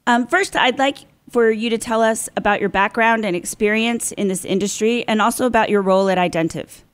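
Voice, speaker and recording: A woman's voice: a little high, a little fast. A woman hosting a podcast interview, delivering prepared introductions and questions. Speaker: podcast host.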